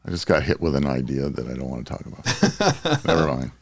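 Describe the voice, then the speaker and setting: A man's voice: rumbling low, huge pitch swings, a little fast, creaky. An older American man co-hosting a podcast in casual chat, joking and self-deprecating. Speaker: podcast co-host.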